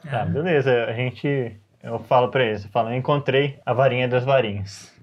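A man's voice: mid-range voice, fairly even intonation, normal speed, highs cut off. A man chatting casually as a player in a tabletop role-playing game, on a slightly noisy recording.

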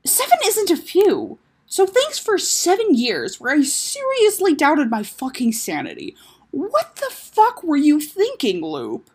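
A woman's voice: on the high side, big pitch swings, unhurried, darkish tone, breathy edge, pitched above the performer's own voice, heavily acted. A woman voicing a brash, sarcastic, foul-mouthed young father who is hurt and guarded, in a story reading. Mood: angry, hurt. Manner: sarcastic, emphatic, swearing.

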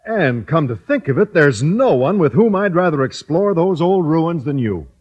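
A man's voice: a little high, big pitch swings, normal speed, darkish tone, lo-fi sound. An actor playing a shy, earnest, polite male teacher, a little hesitant, in a 1950s radio sitcom style. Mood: earnest, eager.

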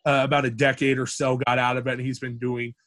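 A young man with a deep, projecting voice, a campus radio sports director talking casually as a podcast guest, with many restarts and fillers. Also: little pitch movement, fast, a bit bright. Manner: rambling with frequent restarts and fillers.

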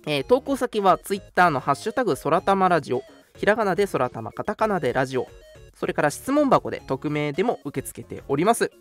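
A man's voice: a little high, sing-song, fast, soft background music. A man hosting a radio show, giving announcements in a polite, chatty way. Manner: polite, chatty, frequent interjected particles. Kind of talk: casual scripted-segment radio announcements.

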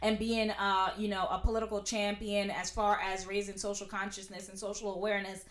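Young female prosecutor guest, loud and outspoken, in an echoey room. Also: medium pitch, flat delivery, fairly quick. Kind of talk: casual interview chat. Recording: roomy echo.

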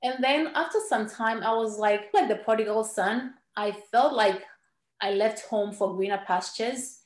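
A woman's voice: fairly high pitch, a little slow. Woman from Ghana in echoey room. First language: Ewe. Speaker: guest entrepreneur. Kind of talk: podcast interview storytelling.

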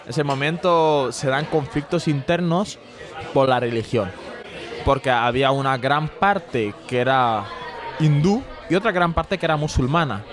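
A man's voice: on the high side, measured pace. A man from Bangladesh, a non-native speaker whose first language is Bengali, patiently explaining history as a guest in a casual interview. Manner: patient and explanatory, with restarts and fillers. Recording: crowd noise behind.

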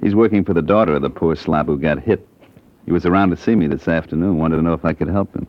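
Actor as a low-voiced, cocky small-time piano man. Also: fast, close to an everyday voice. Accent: American. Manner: slangy, glib. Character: cocky small-time jazz pianist turned blackmailer. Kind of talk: 1940s-50s American radio detective drama acting. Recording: dull old radio drama recording.

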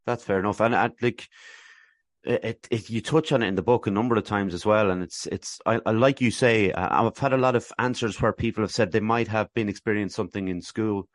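A man's voice: lowish voice, fairly quick, dry recording, clean studio sound. A man hosting a podcast interview, asking long, hesitant questions with many restarts. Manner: hesitant, stammering, rambling questions. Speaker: podcast host.

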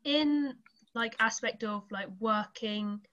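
A woman's voice: a little high, slow pace, bright, forceful tone. A woman asking interview questions tentatively, filling them with likes and ums. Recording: dull lo-fi sound.

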